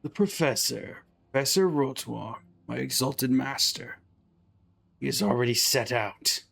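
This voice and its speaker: A man reading out a sinister villain's servant who gloats over his master's coming victory, in a slow, low, menacing way. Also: gravelly, fairly bright tone, exaggerated voice.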